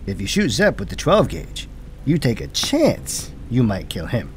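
A man's voice: mid-range voice, wildly swooping pitch, measured pace, a little hiss or hum. A man playing a cocky, exasperated hard-boiled cop in a noir audio drama, sardonic and streetwise with punchy delivery. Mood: cool, threatening.

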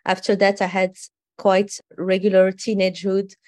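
A woman in her forties from Morocco, a guest telling her life story in a reflective, unhurried way. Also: mid-range voice, completely flat delivery, darkish tone, no room sound. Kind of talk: conversational storytelling.

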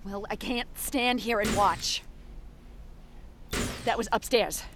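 A woman's voice: medium pitch, slow pace, close to an everyday voice. A woman acting in an audio drama as a grim, determined action heroine, terse and grave. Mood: frustrated resolve. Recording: noisy background ambience.

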